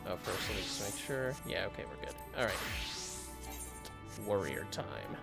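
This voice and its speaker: A man's voice: low voice, big pitch swings, measured pace, creaky, loud music behind the voice. A man giving casual, chatty gameplay commentary with joking asides as he livestreams a fantasy game. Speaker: livestreamer playing an online fantasy RPG.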